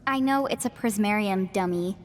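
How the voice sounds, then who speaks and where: A young actress playing a sharp, level-headed heroine with a dry, sarcastic way of speaking. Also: female voice, medium pitch, moderate pace, slight background noise, lightly acted. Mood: wry. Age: young adult. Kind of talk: video game voice acting. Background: game music.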